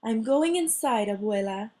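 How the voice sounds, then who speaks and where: A dainty young cockroach lady from a storybook, performed by a woman. She is proud and prim, with a dry, ladylike delivery. Her words come unhurried. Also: a little high, expressive, warm tone. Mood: fretful, smitten.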